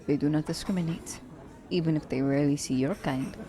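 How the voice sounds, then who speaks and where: A woman's voice: sits low, fairly quick, a crowd in the background, lightly acted. A woman playing a poised, teasing female assassin in an ASMR romance roleplay, speaking formally and condescendingly to her captive werewolf. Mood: teasing, welcoming. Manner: formal, slightly stilted wording, teasing. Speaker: voice actor.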